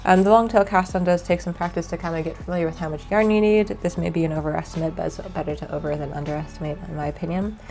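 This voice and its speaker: Woman, stuffy from a cold, lowish voice, relaxed how-to explaining, faint music behind. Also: fast, few pauses. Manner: relaxed, many ums and uhs. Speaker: knitting instructor. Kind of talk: casual tutorial explanation.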